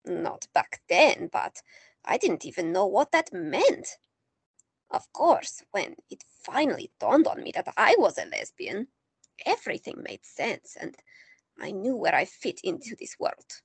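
A woman's voice: medium pitch, a little slow, gravelly, husky, no echo, full character voice. Woman playing a wry older Greek-born grandmother. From Greece. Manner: calm, dry, teasing.

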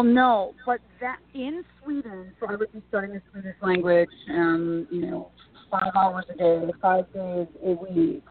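A woman from Minnesota, a TV and news personality, chatting animatedly as an interview guest. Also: mid-range voice. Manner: chatty and animated, frequent restarts. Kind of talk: casual interview answers. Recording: tinny phone-like line.

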